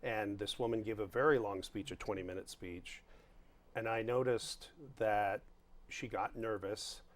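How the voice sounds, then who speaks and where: A man guesting on a TV studio interview as a voice coach. His speaking voice is resonant, a tenor that sits fairly low. He answers emphatically and agrees readily. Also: steady tone. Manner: emphatic, agreeable, quick to say "absolutely".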